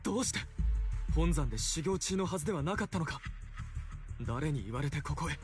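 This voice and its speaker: A man playing an earnest, polite, protective elder brother in a Japanese anime-style game, speaking formally and gravely. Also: a little high, expressive, rapid-fire, lightly acted. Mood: alarmed, stern. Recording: game dialogue over loud music.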